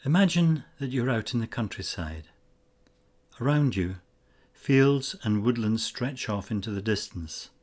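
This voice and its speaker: A man narrating a scripted guided meditation in a measured, instructional way with pauses between phrases. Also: mid-range voice, expressive, darkish tone.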